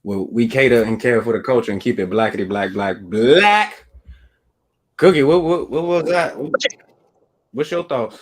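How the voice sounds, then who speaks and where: A man hosting a casual talk show, playful and loud with hype. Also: medium pitch, lively intonation, normal speed. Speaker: podcast host.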